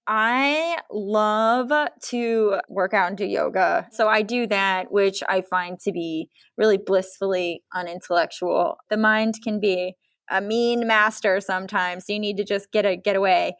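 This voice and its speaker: A woman with a very clear, smooth voice at a medium pitch, recorded dry with no room sound. She talks casually and emphatically as an interview guest, effusive about what she describes. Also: fairly even intonation, unhurried, fairly bright tone, dry recording. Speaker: guest novelist.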